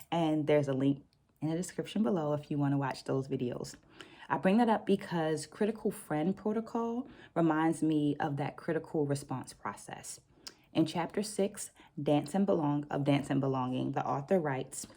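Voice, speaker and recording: A middle-aged woman hosting a reflective vlog, explaining things deliberately and stressing key words. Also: low-pitched, dark tone. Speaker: book vlog host and dance educator. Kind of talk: reflective vlog talk with read-out quotes.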